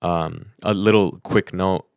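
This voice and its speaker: A young American man with a notably deep voice co-hosts a podcast. He speaks casually and earnestly, in the manner of someone handing out advice. The sound is very dry. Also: steady tone, slow pace, vocal fry, smooth, no room sound. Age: young adult. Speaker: podcast co-host.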